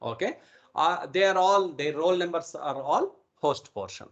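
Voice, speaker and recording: A man's voice: on the high side, unhurried, a bit bright, highs cut off. Indian-accented man teaching an online class. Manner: instructive, repeatedly checks with "okay" and "right". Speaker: instructor. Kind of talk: informal online networking lecture. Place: online video call recording.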